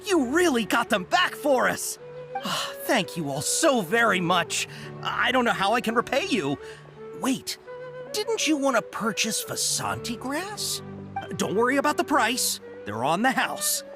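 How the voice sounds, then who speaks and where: A man's voice: very high voice, wildly swooping pitch, a little slow, full character voice. A man voicing a generous, grateful male merchant in a game, effusive and full of thanks. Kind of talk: game dialogue voice acting. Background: game music.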